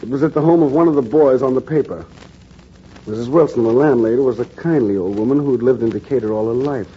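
A man playing a veteran newspaper reporter, narrating his story in a measured, grave way in a 1940s radio drama. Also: mid-range voice, slight background noise, lightly acted. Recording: muffled 1940s radio broadcast.

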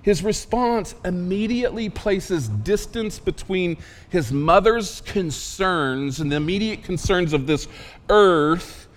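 A man preaching a sermon in a casual, emphatic way, with asides and quick questions to the congregation. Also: high voice, expressive, big-room sound. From Oklahoma. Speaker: pastor.

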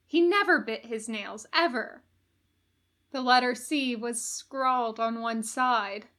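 A woman reading a story aloud as expressive scripted narration. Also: fairly high pitch, slow pace. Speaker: audiobook reader.

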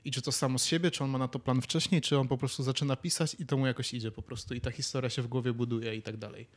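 A man's voice: medium pitch, fairly even intonation, fast. A man co-hosting a podcast, chatting casually and musing aloud as he searches for words. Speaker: podcast co-host. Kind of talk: casual chat, musing and telling anecdotes.

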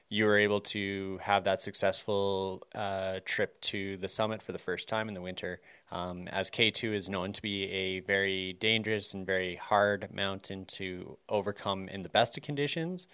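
A man hosting a casual interview, speaking in a measured, slightly hesitant way. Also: deep voice, sharp tone, clear, resonant tone, no echo. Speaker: podcast host.

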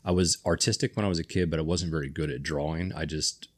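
A man with an extremely low, deep voice hosts a casual podcast conversation. He rambles, restarts his sentences and gets enthusiastic about his ideas. He sounds middle-aged. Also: steady tone, fast talker. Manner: rambling, self-correcting, enthusiastic. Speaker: podcast host. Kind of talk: casual chat.

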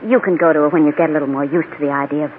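A woman's voice: low-pitched, steady tone, racing, close to an everyday voice. An actress playing a sympathetic, earnest woman who steadies others in an old-time radio crime drama. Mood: sympathetic, urging. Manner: earnest, soothing. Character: sympathetic, steadying young lady helper. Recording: noisy, narrow-band old radio recording.

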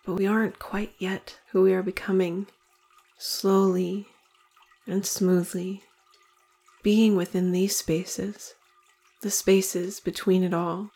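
A woman leading a guided meditation in slow, deliberate phrases with long pauses between them. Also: mid-range voice, little pitch movement, warm tone, slightly rough.

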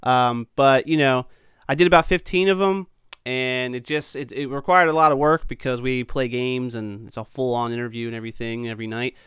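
A man's voice: medium pitch, fairly even intonation, a little fast, a bit bright, smooth, dry recording, studio quality. A male podcast host getting over a cold, a bit sniffly, talking in an upbeat, chatty way with lots of fillers. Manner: upbeat, chatty, many ums and uhs. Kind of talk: casual podcast chat.

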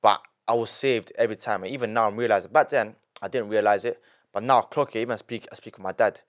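A nineteen-year-old man, a podcast guest telling his story in an animated way, full of repetition and street slang. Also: on the low side, fast. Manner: animated, repeats himself, heavy street slang. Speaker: young entrepreneur guest.

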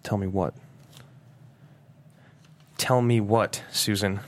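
An actor playing an anguished, angry husband who stammers, swears and demands answers in a thriller script read. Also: male voice, on the low side, steady tone, takes it slowly. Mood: stunned, bitter. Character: anguished, enraged husband confronting his wife's killer. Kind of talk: table read of a thriller screenplay.

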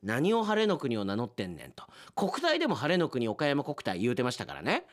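A man's voice: medium pitch, huge pitch swings, brisk. A man hosting a radio show in a chatty, polite style with playful asides, as a trained announcer speaking conversationally.

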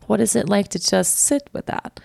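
A woman in her thirties from Sweden with a Swedish accent, hosting and talking candidly and reflectively, halting and restarting as she thinks. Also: medium pitch, creaky, no room sound, studio quality. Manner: candid, halting, self-reflective with frequent restarts and soft laughs. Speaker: podcast host. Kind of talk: personal reflective monologue.

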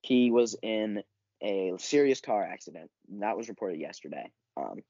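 Give me a deep, dark-voiced teen guy clearing his throat. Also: no room sound. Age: teens. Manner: relaxed, filler-heavy. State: recovering from illness, clearing throat. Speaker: podcast co-host. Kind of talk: casual sports chat and news rundown. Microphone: basic home podcast setup. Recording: dull lo-fi dry recording.

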